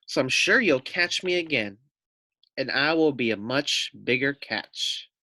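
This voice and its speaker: A man voicing a small young female lamb from a storybook, sly and calm, with a coaxing, flattering manner. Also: mid-range voice, a little slow, no room sound. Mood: persuasive.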